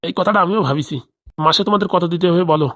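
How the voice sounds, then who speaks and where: A woman's voice: lowish voice, very fast, lightly acted. A woman voicing a crooked, bossy male village boss in a comedy cartoon, scheming aloud with a rural Bengali accent. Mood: scheming, affable.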